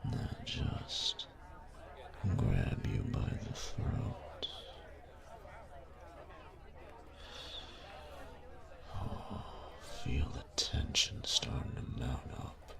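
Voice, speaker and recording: A man playing a cocky, taunting, domineering young bully in an intimate audio roleplay, speaking in a breathy, gravelly half-whisper with slow, teasing menace. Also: very deep voice, wildly swooping pitch, a crowd in the background, heavily acted. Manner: slow, teasing, menacing delivery with drawn-out pauses.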